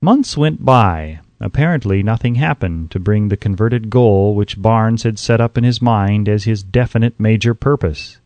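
A man reading an audiobook as scripted narration, in a measured, emphatic way, close to the microphone. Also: fairly low pitch, a little mellow, no room sound.

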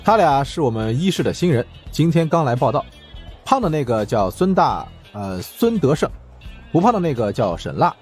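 A man voicing a brusque, world-weary department director in an audiobook, husky and curt, with a dismissive grumble. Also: mid-range voice, very animated, moderate pace, a little mellow, faint music behind, voice pushed up, natural voice. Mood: businesslike.

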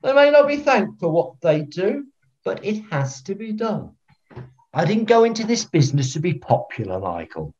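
Theatrical, wildly swooping older referee. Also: male voice, high voice, big pitch swings, normal speed, darkish tone. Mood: self-righteous. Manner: self-important, theatrical. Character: pompous, eccentric veteran football referee, older man, self-righteous. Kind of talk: filmed comedy acting on a video call. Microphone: video call. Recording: dull, lo-fi video call audio.

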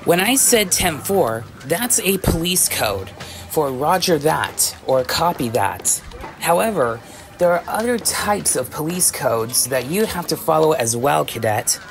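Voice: mid-range voice, sing-song, measured pace, vocal fry, soft background music. A performer with a woman-like voice acting out an easygoing, dutiful werewolf police chief in scripted ASMR roleplay.

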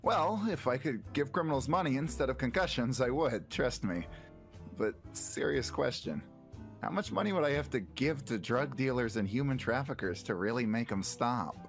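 Man playing wisecracking superhero with animated delivery. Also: mid-range voice, moderate pace, close to an everyday voice. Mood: wry. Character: young wisecracking male superhero, cocky but awkward. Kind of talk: animated comic-book parody acting. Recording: music behind the voice.

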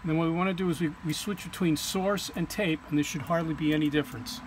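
Higher-pitched man giving a hands-on demo, noisy. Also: fairly even intonation, quick pace. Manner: step-by-step, plain-spoken. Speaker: technician demonstrating equipment calibration. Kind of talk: informal hands-on tutorial. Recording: clear background hum or ambient noise.

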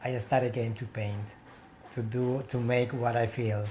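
A man in his forties speaks English with a Peruvian Spanish accent, pausing and restarting as he searches for words. His voice is dark and mellow, recorded close on a webcam in a small room, with a faint hiss behind it. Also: medium pitch, level tone. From Peru. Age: 40s. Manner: halting English with many pauses and restarts. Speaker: interviewee, painter. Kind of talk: casual interview. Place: small room with painted walls, indoors.

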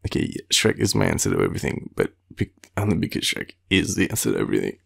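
A tired young Australian man rambling in an intimate boyfriend roleplay, with a husky, gravelly voice and a playful, teasing, halting manner. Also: extremely low pitch, big pitch swings. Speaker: boyfriend roleplay audio performer.

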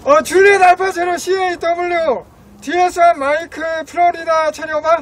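A man's voice: extremely high pitch, steady tone, moderate pace. A middle-aged man from South Korea making ham radio calls in an open field, reciting call signs in clipped, formulaic phrases. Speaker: amateur radio operator. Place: open farm field outdoors. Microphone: camera a few metres away. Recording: outdoor field with noisy radio sound. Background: loud ambient and radio noise.

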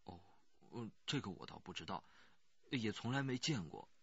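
A man's voice: lowish voice, lively intonation, normal speed, creaky, fairly bright tone, husky, no echo, muffled recording, full character voice. An actor playing an anxious rural working man who pleads earnestly and hesitantly in a radio crime drama. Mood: cooperative, explaining.

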